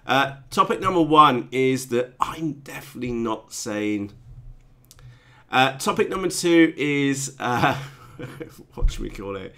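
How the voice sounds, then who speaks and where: A man's voice: mid-range voice, lively intonation, slow pace, sharp tone. A man hosting a casual hobby chat show, sounding blocked up and congested from a cold, rambling with many ums and restarts. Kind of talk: casual live-stream chat.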